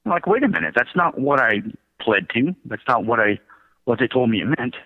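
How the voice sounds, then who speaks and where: A middle-aged man telling his own story as a radio interview guest, in a halting, self-correcting way. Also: mid-range voice, sing-song. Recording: thin phone-like line.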